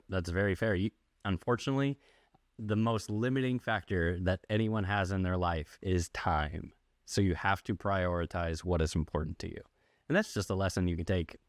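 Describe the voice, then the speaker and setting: Male interviewer, low register, relaxed podcast-style questioning, tightly dry recording. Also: dry recording. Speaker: podcast host. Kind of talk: casual interview.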